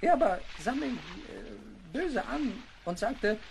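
A woman playing an earnest, cautious islander friend in an audio drama, speaking deliberately and expressively. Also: mid-range voice, expressive, gravelly, darkish tone, slight background noise. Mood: earnest, troubled.

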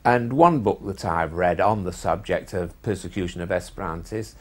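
A man's voice: sits low, moderate pace. A man speaking seriously and informatively in an interview.

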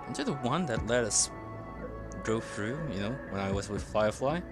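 A man's voice: lowish voice, lively intonation, moderate pace. A man doing casual, playful live commentary on a game, often hesitating as he goes. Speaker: game streamer. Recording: game music behind the voice. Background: video game music.

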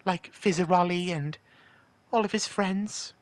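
A man's voice: high voice, pitched above the performer's own voice. Man voicing an earnest, burdened owl demon prince. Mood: earnest, caring. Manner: earnest, halting, pleading. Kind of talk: in-character fan monologue in cartoon style.

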